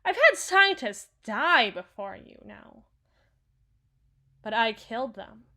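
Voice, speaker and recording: A woman playing a cynical, menacing henchwoman with a hidden soft spot in an ASMR roleplay, brooding and sardonic, sometimes sinking toward a whisper. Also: fairly high pitch, very animated, full character voice. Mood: boastful, menacing. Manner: slow, brooding, sardonic, dropping into breathy whispers. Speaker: audio roleplay performer.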